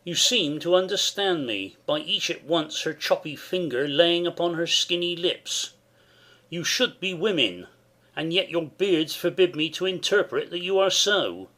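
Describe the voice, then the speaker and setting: Man acting a wondering noble soldier, slow declamation, lively swooping pitch, Shakespeare audio drama. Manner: declamatory, questioning.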